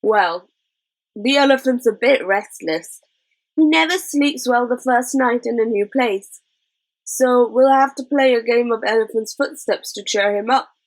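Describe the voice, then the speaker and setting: A woman voicing a quick-witted, cheeky boy in a storybook, brisk and chivvying. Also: on the high side, low-quality recording. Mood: coaxing.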